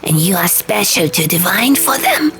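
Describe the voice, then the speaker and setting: A woman's voice: medium pitch, big pitch swings, normal speed, music in the background, pitched above the performer's own voice. Woman voicing a pompous gargoyle, swooping intonation. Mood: frank, pensive. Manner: formal, prim, wordy phrasing. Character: stone gargoyle, sleepy, fussy, pompous and literal-minded. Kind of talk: audiobook character voice.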